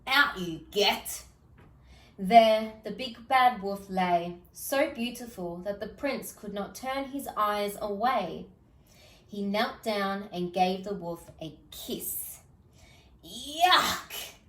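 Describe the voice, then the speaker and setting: A woman reads a fairy tale aloud to children. Her pitch is mid-range and her storytelling is expressive and slow. The room adds noticeable echo. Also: far from the mic. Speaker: storybook reader.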